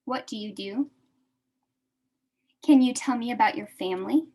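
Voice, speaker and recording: A young American woman teaching a beginner English class, speaking slowly and repeating each phrase for her adult learners. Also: on the high side, dark tone.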